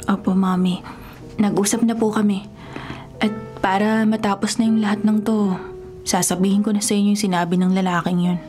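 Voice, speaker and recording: A woman's voice: mid-range voice, little pitch movement, normal speed, long pauses, mellow, soft background music, close to an everyday voice. A woman playing a lovesick young daughter of a rich family in a Filipino radio drama, speaking in a plaintive, earnest way. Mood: resigned, upset. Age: young adult.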